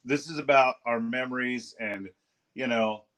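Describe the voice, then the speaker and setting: A man from Southern California chats casually as a podcast host over a video call. His voice sits at an ordinary middle pitch, and his talk is relaxed and slangy, full of "dude" and "man.". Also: a little slow. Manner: laid-back, slangy, says "dude" a lot.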